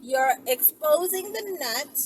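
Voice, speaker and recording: A woman's voice: high voice, animated, takes it slowly. A woman in her thirties chatting casually to camera in an emphatic way, repeating words for stress. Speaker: vlogger, channel host. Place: inside a moving car with windows down. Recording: very noisy car ride, distant mic.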